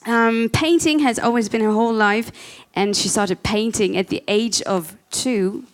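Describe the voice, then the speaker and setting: A woman hosts a live event, chatting casually through announcements and a guest introduction. Her voice sits a little high and is peppered with ums and uhs. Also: unhurried. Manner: casual, filler-heavy. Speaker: event host. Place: event venue.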